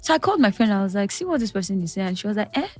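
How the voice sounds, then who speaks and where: A woman's voice: mid-range voice, rapid-fire, soft background music. A young woman, a podcast guest telling personal stories emphatically. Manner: expressive, emphatic storyteller. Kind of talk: casual chat.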